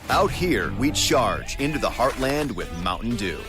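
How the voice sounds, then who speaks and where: Male commercial voice-over, medium pitch, scripted outdoorsy read over prominent music. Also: big pitch swings, a little slow. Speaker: advertisement voice-over.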